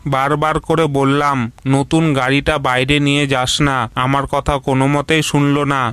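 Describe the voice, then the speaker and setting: Cartoon tiger father, played by a man: haughty bully, hard bright tone, scornful delivery. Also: medium pitch, little pitch movement, normal speed, smooth, no echo, lightly acted. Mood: exasperated, scolding. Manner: contemptuous, scolding. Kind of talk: cartoon voice acting.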